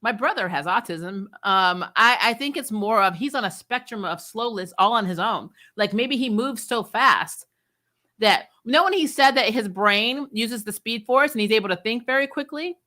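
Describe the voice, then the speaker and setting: A woman hosting a casual TV review chat, talking in an animated, sarcastic, exasperated way with blunt opinions and casual swearing. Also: fairly high pitch, normal speed. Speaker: host of a TV show review channel. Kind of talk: casual spoiler recap chat, reading and answering viewer comments.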